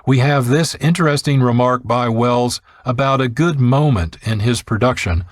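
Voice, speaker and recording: A man narrates a radio program from a script. His medium-pitched voice is close and very dry, with no room around it. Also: moderate pace, no echo. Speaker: radio show host and narrator. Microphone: close studio mic.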